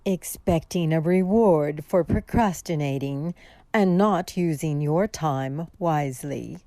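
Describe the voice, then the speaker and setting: An actress playing a mysterious veiled woman in a radio drama, cold, measured and admonishing. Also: low voice, mellow, dry recording. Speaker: actor.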